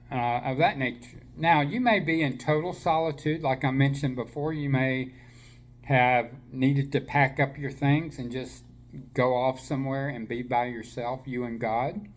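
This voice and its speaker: A man giving a slow, gentle spiritual talk to listeners while he has a slight cold, with many fillers and restarts. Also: mid-range voice. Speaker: spiritual teacher.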